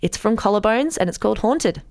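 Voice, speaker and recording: A woman's voice: mid-range voice, lively intonation, brisk. A woman co-hosting a radio podcast, chatting casually and enthusiastically about new music while a little blocked up with a cold. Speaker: podcast co-host. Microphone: studio microphone.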